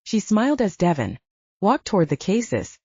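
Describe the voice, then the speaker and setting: Female audiobook narrator, mellow, animated intonation, dry close sound, slightly dull recording. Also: medium pitch, normal speed, breathy edge, dry recording. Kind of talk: scripted fiction narration.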